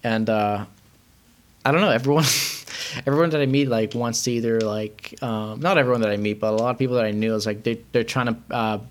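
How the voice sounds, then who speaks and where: Young man from Iran, loose rambling chat, lots of "like" and "um", mid-pitched. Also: a little fast. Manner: rambling, heavy use of filler words. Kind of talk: casual chat.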